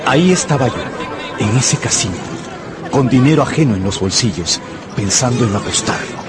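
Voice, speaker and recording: A man's voice: medium pitch, expressive, moderate pace, close to an everyday voice. An actor playing an anxious, tempted gambler who narrates his own story in a radio drama. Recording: loud music and crowd behind the voice.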